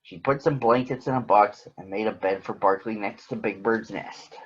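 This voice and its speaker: A man's voice: lowish voice, normal speed, slightly rough. Man reading to children, dull recording. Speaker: children's story reader. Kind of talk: picture book read-aloud for children.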